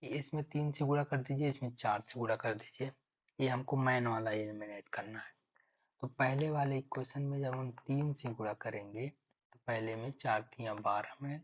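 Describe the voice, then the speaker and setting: A man teaching maths step by step for exam preparation, thinking aloud and repeating key figures. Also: mid-range voice. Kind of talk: step-by-step lesson explanation. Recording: dull lo-fi microphone sound.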